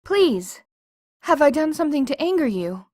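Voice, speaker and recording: A woman's voice: sits high, very animated, moderate pace, a little mellow, no echo, studio quality. A woman voicing an earnest, servile female pony spirit who speaks in a formal, pleading way in a fan audio drama.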